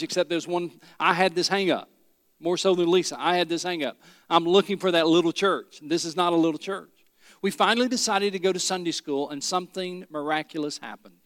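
A middle-aged pastor from Tennessee, preaching a sermon with folksy stories and rhetorical questions. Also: male voice, sits high, fairly bright tone, no echo, clean studio sound, big-room sound. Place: church sanctuary.

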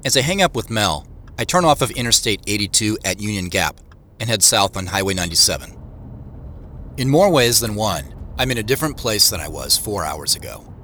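An actor playing a wry, reflective man who narrates his own mystery in an audio drama, in measured phrases. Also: fairly low pitch, animated, sharp tone, a little hiss or hum. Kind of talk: first-person scripted audio drama narration. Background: music.

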